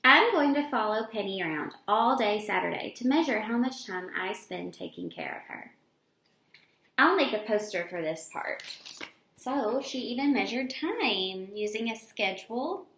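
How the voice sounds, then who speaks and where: Higher-voiced woman reading aloud in echoey room. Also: moderate pace, a little hiss or hum. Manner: expressive, explains to children in simple words. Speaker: elementary school teacher. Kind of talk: picture book read-aloud for young children with explanatory asides. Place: indoor room with echo.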